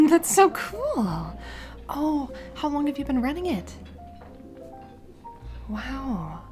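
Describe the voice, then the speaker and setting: A woman playing a warm single mom on a first date in a romantic audio roleplay, speaking hesitantly and encouragingly with soft giggles. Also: high-pitched, big pitch swings, slow pace, full character voice. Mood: interested, encouraging. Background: background music, restaurant date ambiance.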